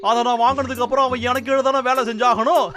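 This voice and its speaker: A man voicing a boastful, scheming, excitable male lumberjack in a cartoon dub, loud and gloating with bursts of laughter. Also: very high voice, quick pace, faint music behind. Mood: defiant.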